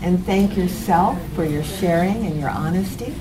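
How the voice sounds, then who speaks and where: Facilitator, woman, lower register, gentle and unhurried, searching for words; handheld mic with audible background noise. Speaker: group facilitator. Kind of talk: facilitating a community meeting. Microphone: handheld microphone.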